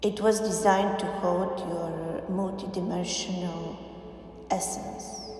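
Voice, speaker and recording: A woman leading a guided meditation, speaking in deliberate phrases with frequent pauses. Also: fairly low pitch, steady tone, darkish tone. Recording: very echoey, long reverb, faint music. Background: faint background music.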